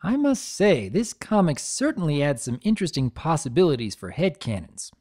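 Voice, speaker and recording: A man's voice: fairly high pitch, big pitch swings, moderate pace. A man narrating and commenting from a script as host, in a theatrical storyteller's manner. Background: background music.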